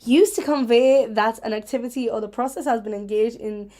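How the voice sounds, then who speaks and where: A woman hosting a casual, rambling livestream chat, playful, giggly and self-mocking, with an animated, emphatic way of talking. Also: on the high side, fairly quick. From Africa. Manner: animated, emphatic, repeating herself for effect. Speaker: host of a chat vlog.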